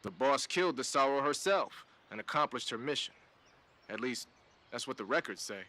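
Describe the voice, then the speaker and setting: Streetwise support man, casual, slangy, sharp bright voice, medium pitch, video game dialogue. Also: lively intonation, normal speed. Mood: casual, informative. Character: laid-back, streetwise male tech specialist on radio support.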